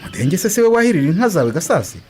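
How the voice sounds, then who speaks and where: A man's voice: sits high, big pitch swings, racing, a little mellow. A man with a Rwandan accent acting a persistent village leader in a radio drama, explaining himself insistently. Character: persistent village leader politely collecting an unpaid debt.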